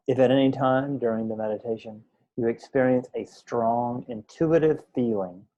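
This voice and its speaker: Man leading a slow guided meditation, muffled recording. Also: mid-range voice, mellow. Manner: unhurried, pausing between short phrases. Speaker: meditation teacher.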